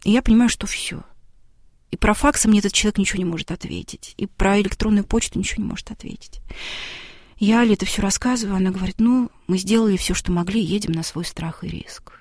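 A woman's voice: mid-range voice, fast talker, darkish tone, no room sound, clean studio sound. A woman who makes television documentaries, a guest on a talk show, telling a long story and acting out the dialogue she quotes.

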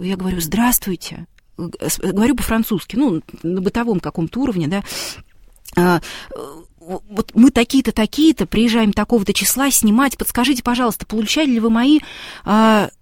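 A woman guest on a talk show, telling a story and acting out the lines of the people in it. Her voice sits at an ordinary middle pitch and sounds dry and close, with no room sound around it. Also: dry recording. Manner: lively storyteller who acts out quoted dialogue. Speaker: guest, television documentary maker.

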